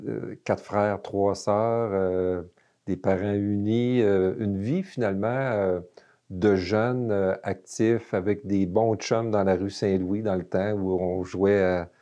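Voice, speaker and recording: A man's voice: lowish voice, fairly even intonation, slow, mellow, lo-fi sound. A man in his late fifties with a Québécois French accent, a guest in a casual interview, talking with many fillers and restarts. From Quebec.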